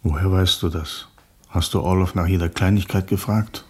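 A troubled, brotherly revolutionary in a radio play. His voice is low and heavily breathy. He speaks firmly, in short admonishing phrases. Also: fairly even intonation, normal speed, a little mellow. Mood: reproachful. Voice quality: husky. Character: troubled, protective male revolutionary comrade, firm and brotherly.

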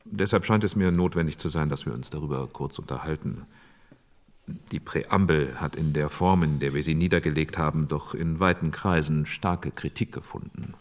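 A very deep-voiced committee chairman from post-war politics. He speaks formally and deliberately, arguing his case as in a radio-play debate. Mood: formal, businesslike. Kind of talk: radio drama re-enactment of a parliamentary debate.

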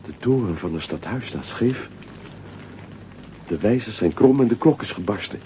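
A man's voice: on the low side, lively intonation, moderate pace, a little hiss or hum, lightly acted. An actor playing a timid young man who is afraid of heights and stammers with fear in a radio play. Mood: worried. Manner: stammering, fearful. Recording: tinny radio recording with faint music.

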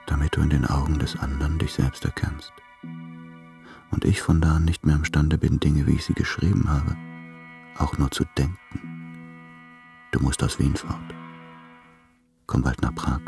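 An actor playing a brooding, self-doubting lover in a radio play, with measured, introspective delivery. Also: male voice, extremely low pitch, level tone, gravelly, over music, exaggerated voice. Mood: yearning. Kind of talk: literary German radio play monologue.

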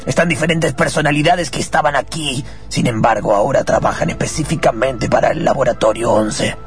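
A man voicing a sinister old laboratory boss in a radio drama, slow, formal and hushed, with a cough. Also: mid-range voice, steady tone, faint music behind, natural voice. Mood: cold menace.

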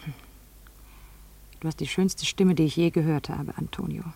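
Husky, dark-voiced weary yearning woman. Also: low voice, fairly even intonation, normal speed, full character voice. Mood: wistful yearning. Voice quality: hushed, breathy, slipping into whispers. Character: wealthy, weary, yearning divorced woman, Mrs. Brown. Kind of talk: German radio play acting.

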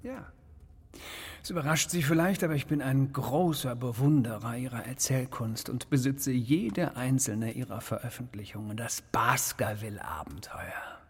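Husky, genteel criminal mastermind, echoing hall. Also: male voice, medium pitch. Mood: courteous. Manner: polite, pompous, measured, condescending. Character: courteous, menacing, intellectual criminal mastermind professor, thin. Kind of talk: radio crime drama acting.

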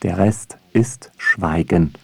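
A man's voice: sits low, takes it slowly, gravelly, a little mellow, breathy edge, dry recording. A man narrates a scripted radio essay in a wry, dryly ironic manner.

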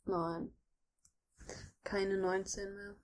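A woman's voice: mid-range voice, level tone, drawn-out words, poor audio. A woman co-hosting a podcast, playing a guessing game in casual chat, teasing and laughing easily. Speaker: podcast co-host.

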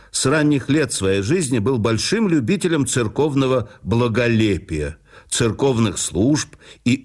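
A man reading a memoir aloud in a measured, expressive manner as the narrator of an audio production. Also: mid-range voice.

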